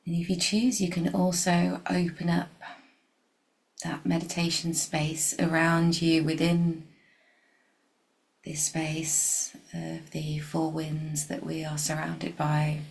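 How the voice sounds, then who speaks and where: A woman leading a guided meditation in a soft, gentle, unhurried way, leaving long pauses and at times dropping toward a breathy whisper. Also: deep voice, completely flat delivery, rough recording.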